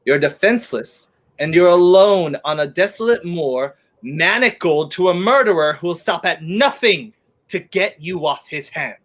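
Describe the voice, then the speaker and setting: A man's voice: high voice, lively intonation, a little slow, fairly bright tone, heavily acted. A man playing a brash, sardonic, commanding fugitive in a 1930s radio play. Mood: sardonic menace. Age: young adult. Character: brash wrongly accused man on the run, sardonic, urgent.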